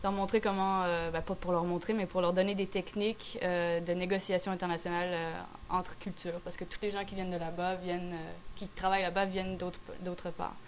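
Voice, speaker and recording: A young woman with a Québécois accent chats casually as a guest, rambling with plenty of fillers and slipping into English words. Her voice sits fairly low for a woman. Faint background noise runs under the recording. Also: little pitch movement, brisk, few pauses. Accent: Québécois French. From France. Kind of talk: casual chat.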